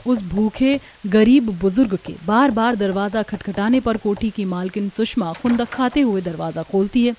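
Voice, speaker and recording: A woman's voice: medium pitch, moderate pace, few pauses, darkish tone, a little hiss or hum. A woman reading scripted narration for a cartoon story as its storyteller.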